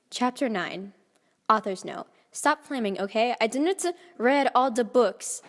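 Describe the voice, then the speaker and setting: A woman's voice: fairly high pitch, slow. A woman giving a theatrical, comedic dramatic reading of fan fiction as the narrator. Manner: theatrical, often breaking into laughter. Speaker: narrator, reader. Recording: long hall reverb.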